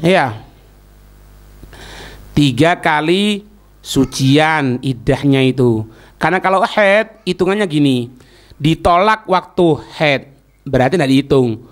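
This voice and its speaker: Male religious teacher, high voice, Javanese accent, informal lecturing, colloquial and didactic. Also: animated, takes it slowly, pauses often. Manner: didactic, often checking listeners understand; colloquial, acting out short example quotes. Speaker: Islamic preacher teaching religious law.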